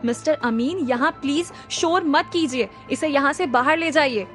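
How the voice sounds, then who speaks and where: A man's voice: very high voice, fairly bright tone, soft background music. Woman doing a nervous, formal doctor. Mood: defensive. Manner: polite, formal, hurried.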